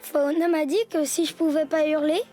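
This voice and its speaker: A woman voicing a plucky, impulsive young jungle boy in a cartoon dub, youthful and expressive. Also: sits high, moderate pace, soft background music, natural voice. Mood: relieved, questioning. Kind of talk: animated cartoon dub acting.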